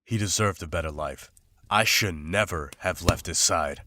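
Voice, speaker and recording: A man playing a grim, war-weary monster hunter in a visual novel, speaking in a solemn, formal, measured way. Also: low voice, sharp tone, a little hiss or hum. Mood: remorseful. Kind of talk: visual novel voice acting, dramatic journal narration and dialogue.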